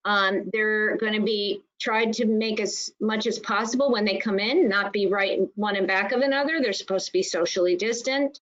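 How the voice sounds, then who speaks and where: A woman's voice: medium pitch, moderate pace, few pauses, fairly bright tone. Muffled meeting audio, emphatic explaining woman. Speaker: school nurse coordinator on a panel. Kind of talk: informal spoken presentation and discussion in an online meeting. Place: online meeting call.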